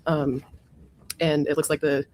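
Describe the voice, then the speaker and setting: A woman testifying as a witness in a courtroom, hoarse from a cold, giving careful, matter-of-fact answers. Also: sits low, flat delivery, measured pace, darkish tone, a little hiss or hum. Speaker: witness, former autopsy technician.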